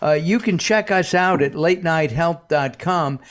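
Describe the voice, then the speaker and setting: A man's voice: on the high side, measured pace. A man hosting a talk radio show, chatty and upbeat, often rambling.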